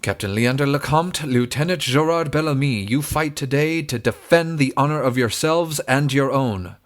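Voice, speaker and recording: A man's voice: mid-range voice, moderate pace, natural voice. A man playing a stern, commanding Duke in an audio drama, speaking formal, archaic lines with an imperious manner. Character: stern, commanding Duke presiding over a duel. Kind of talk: stage-play audio drama acting.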